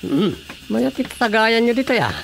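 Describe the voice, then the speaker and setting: An actor playing an officious, assertive village councilor in an Ilocano radio drama comedy. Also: male voice, extremely high pitch, big pitch swings, moderate pace, gravelly, fairly bright tone, slight background noise, full character voice. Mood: inquisitive. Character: officious male village councilor, determined and meddling.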